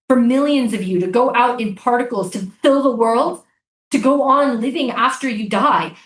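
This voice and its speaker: A woman's voice: on the high side, natural voice. A woman playing an earnest, insistent American soldier and interrogator in a staged reading of a drama. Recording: roomy, echoey live stage reading.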